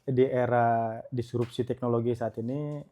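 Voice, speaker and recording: Male guest, mid-pitched voice, earnest and measured, speaks in rallying phrases. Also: steady tone, mellow. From West Nusa Tenggara, Indonesia. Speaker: guest, youth organization leader. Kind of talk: casual podcast interview.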